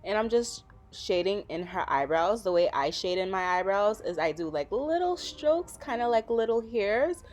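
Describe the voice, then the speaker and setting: A woman from Trinidad and Tobago, talking through a makeup tutorial as a relaxed, chatty voice-over. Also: mid-range voice, normal speed, few pauses. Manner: chatty, relaxed, with frequent fillers. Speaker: makeup vlogger.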